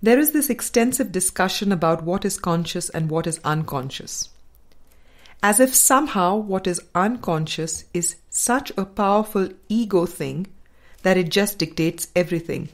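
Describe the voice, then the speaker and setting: A woman reading a spiritual book aloud in a measured, deliberate and formal way. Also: fairly low pitch, expressive. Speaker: audiobook reader.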